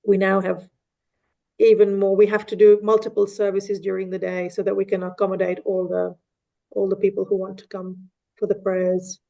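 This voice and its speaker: A woman speaking in a relaxed online discussion, hesitant with many fillers and restarts. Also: medium pitch, moderate pace, vocal fry. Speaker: mosque community member. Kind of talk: casual discussion. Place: online meeting.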